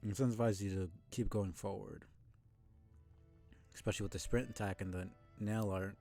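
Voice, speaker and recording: A man with a low voice giving casual, informal live commentary as a video game streamer, thinking out loud. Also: fairly even intonation, moderate pace, vocal fry. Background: game music behind the voice.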